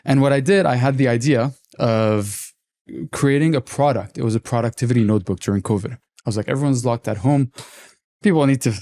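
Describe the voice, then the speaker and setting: A man in his twenties from Lebanon, a podcast guest telling his story in a relaxed, candid, reflective way. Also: mid-range voice, a little fast. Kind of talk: casual interview talk.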